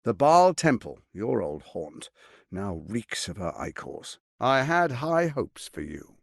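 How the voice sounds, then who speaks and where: An actor playing a suave, ambitious, scheming lord in a video game, speaking in a theatrical, articulate and condescending way. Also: male voice, medium pitch, big pitch swings, slow pace, vocal fry, no room sound, clean studio sound, heavily acted. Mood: cold, condescending. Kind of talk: dramatic video game voice acting.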